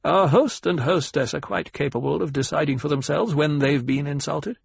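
A man narrating a novel puts on a quietly formidable ecologist. The pitch sits raised and the delivery is measured and lecturing. Every phrase carries calm assurance. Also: creaky, slightly rough, no room sound. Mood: courteous, assured.

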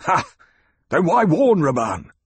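Narrator voices a domineering, petulant fat lord, demanding, husky, animated. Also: male voice, fairly high pitch, takes it slowly, no echo, pitched above the performer's own voice, heavily acted. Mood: blustering. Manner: blustering, demanding. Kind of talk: audiobook character voice.

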